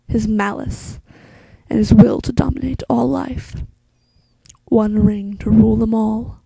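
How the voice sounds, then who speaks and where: An ancient, solemn elf queen acted out by a woman delivers a fantasy prologue. The voice is fairly high but mellow and creaky, soft and hushed. Every phrase is grave and deliberate, recorded close and dry. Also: no echo, exaggerated voice, low-quality recording. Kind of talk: slow dramatic fantasy monologue.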